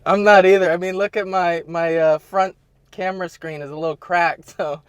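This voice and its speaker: A man's voice: high-pitched, steady tone, moderate pace, clear, resonant tone, no echo. A man chatting casually as a podcast host on a remote video call. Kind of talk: casual chat.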